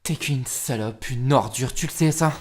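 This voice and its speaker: A man's voice: mid-range voice, moderate pace, background noise, lightly acted. A man playing an insolent, bitter seventeen-year-old son defying his mother in a fan-dubbed TV drama, casual, sarcastic and confrontational. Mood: bitter, exasperated. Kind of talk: amateur fan dub of TV drama. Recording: music under the voice. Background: music.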